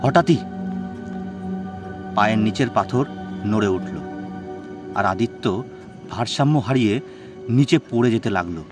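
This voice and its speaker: A man's voice: fairly low pitch, unhurried, long pauses. A man telling a horror story as a dramatic, scripted narration. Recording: music bed behind the voice.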